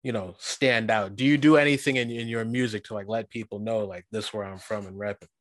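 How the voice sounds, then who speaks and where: A man from Guyana hosting a casual interview, talking in a relaxed, rambling way with lots of fillers. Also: lowish voice, brisk, no room sound. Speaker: podcast host.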